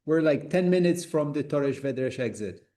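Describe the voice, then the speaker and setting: A man's voice: a little high, lively intonation, normal speed, warm tone. A man from Poland hosting a casual video call and thanking his guest. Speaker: host.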